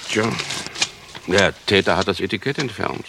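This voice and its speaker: An actor playing a courteous, slyly ironic chief inspector in a radio crime play, speaking in a measured, insinuating, gravelly way. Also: male voice, low voice, noisy background. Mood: courteous, wry.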